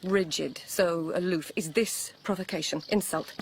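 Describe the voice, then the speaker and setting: An actress playing an elegant, challenging court lady in a radio drama, speaking in measured, pointed phrases. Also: lowish voice, vocal fry, breathy edge. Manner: measured, articulate, pointed questioning. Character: poised, probing court lady, intimate and challenging.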